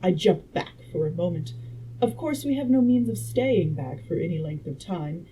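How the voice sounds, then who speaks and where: A woman reading aloud as a confident Victorian gentleman inventor who lectures in a didactic, assured way. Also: on the low side, natural voice. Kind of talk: audiobook-style character reading. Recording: noisy room background.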